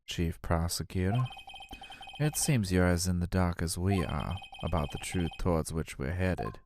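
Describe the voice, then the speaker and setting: A man voicing a composed, probing male defense attorney in a formal, measured way, reading video game dialogue. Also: low-pitched, expressive, noisy background.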